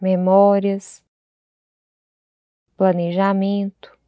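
A Brazilian woman leading a guided meditation in a gentle, unhurried way, leaving long pauses between phrases. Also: medium pitch, level tone, clear, resonant tone, no echo, muffled recording.

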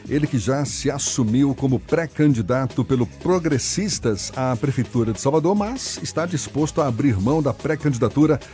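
A man hosting a radio show, linking segments in a smooth announcer's manner. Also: mid-range voice, expressive, moderate pace, hardly any pauses, warm tone, faint music behind. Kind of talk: radio presenting.

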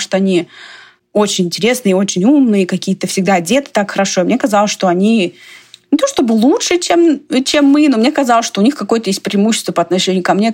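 A woman's voice: medium pitch, a little mellow. A woman from Russia talking casually in a conversation, chatty and digressive, often laughing as she talks.

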